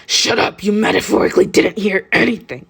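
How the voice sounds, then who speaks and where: Woman voicing a blunt, deadpan teenage boy. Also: medium pitch, brisk, gravelly, breathy edge, voice pushed up. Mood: embarrassed. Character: blunt, gruff teenage boy with hidden softness.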